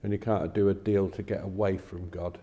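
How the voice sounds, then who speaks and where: A plain-spoken man talking haltingly in a casual discussion of faith, recorded with long reverb. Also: low voice, level tone, dark tone.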